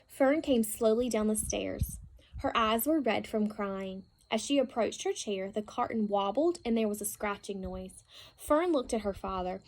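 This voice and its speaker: A woman's voice: medium pitch, darkish tone. A woman reading a children's story aloud as a storybook narrator. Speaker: storybook reader. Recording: faint background noise.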